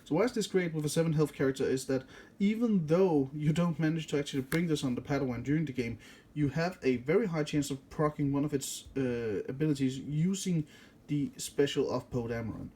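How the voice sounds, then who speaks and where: Mellow Danish-accented man rambling. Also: on the high side, a little fast. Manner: rambling, self-correcting, many fillers. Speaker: card game deck reviewer. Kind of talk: casual deck walkthrough.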